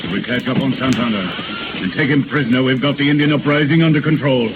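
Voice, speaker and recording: An actor playing a resolute, weary frontier cavalry major with terse, commanding speech, in 1930s radio drama style. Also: male voice, medium pitch, fast, natural voice. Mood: urgent command. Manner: terse, commanding military delivery. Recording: scratchy, tinny 1930s radio recording, heavy noise.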